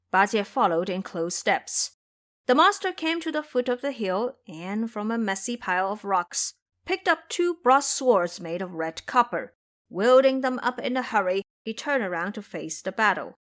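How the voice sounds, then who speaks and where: A woman's voice: medium pitch, unhurried. A woman narrating a fantasy adventure tale in a dramatic, formal storybook style. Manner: expressive, formal literary delivery.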